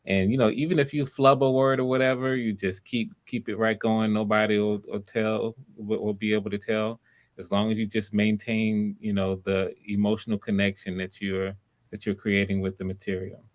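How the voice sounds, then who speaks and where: A man's voice: fairly low pitch, flat delivery, normal speed. A man hosting online auditions, casually coaching people in an encouraging, reassuring way. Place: live online video call.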